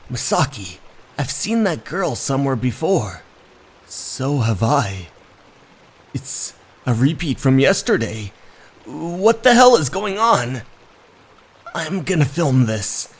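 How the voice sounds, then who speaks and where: A man's voice: mid-range voice, sing-song, takes it slowly, breathy edge, pitched above the performer's own voice, full character voice. A man voicing an alarmed, bewildered young male hiker in a horror manga dub, stammering and exclaiming. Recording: music under the voice.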